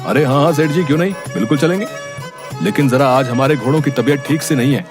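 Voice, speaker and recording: A man's voice: medium pitch, quick pace, over music, natural voice. A man voicing a plain-spoken village working man in a cartoon story, respectful but matter-of-fact. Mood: apologetic. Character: plain-spoken village working men: vendor, servant, cart driver. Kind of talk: animated moral-story cartoon acting.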